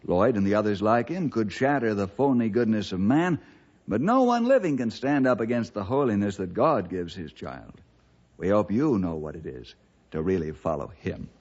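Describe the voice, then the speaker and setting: A man's voice: on the low side, big pitch swings, normal speed, darkish tone. A male narrator reads a script for a children's radio drama. He addresses a young audience. The recording is dull and lo-fi.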